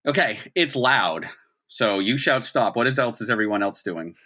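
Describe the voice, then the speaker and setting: A man's voice: mid-range voice, expressive, moderate pace, sharp tone. A man narrating an improvised tabletop role-playing game as game master, in a conversational way and acting out reactions.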